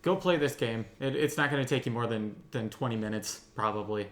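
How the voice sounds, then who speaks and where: A man's voice: medium pitch, brisk, a bit bright, soft background music. A man hosting a casual roundtable discussion, with hesitant phrasing and wry, self-amused asides. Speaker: host of a roundtable podcast.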